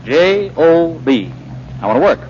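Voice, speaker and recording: An actor playing a cocky young playboy with a glib, flippant manner in 1940s radio style. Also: male voice, on the high side, very animated, a little slow, darkish tone. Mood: cocky, cheerful. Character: breezy, cocky young playboy turned eager businessman. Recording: dull, noisy 1940s radio broadcast.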